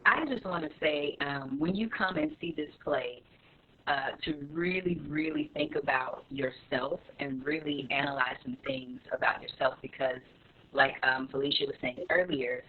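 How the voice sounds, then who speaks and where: A woman speaking as an actor and interview guest, reflective and earnest about faith, halting as she searches for words. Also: low voice, lively intonation, husky. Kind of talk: reflective, earnest religious remarks. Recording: noisy, tinny phone-like connection.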